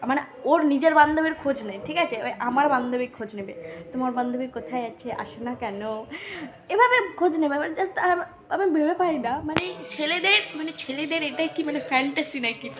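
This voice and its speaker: A young adult woman, telling a story casually in a teasing, animated way and often checking that the listener follows. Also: high voice. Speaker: vlogger. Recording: dull lo-fi recording.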